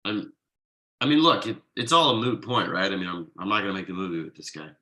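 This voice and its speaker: A man's voice: sits low, fast, pushed and bright. A man playing an exasperated, wronged friend and young father in a comedy table read, stammering and incredulous in an emphatic, conversational way. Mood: flustered.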